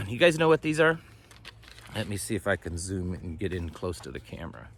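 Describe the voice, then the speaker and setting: A man's voice: low-pitched, sing-song, moderate pace, vocal fry, a little hiss or hum. A middle-aged American man hosting a homestead vlog, chatting casually and folksily to camera, rambling and trailing off mid-thought. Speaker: host of a homesteading and prepping channel. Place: outdoors on a homestead, ducks nearby.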